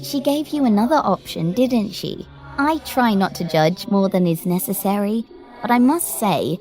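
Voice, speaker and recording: A prim, formal keeper of an uncanny lift, voiced by a woman in an audio drama. She talks in genteel, measured phrases and probes gently. Her pitch sits in a middling range. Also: close to an everyday voice. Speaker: voice actor.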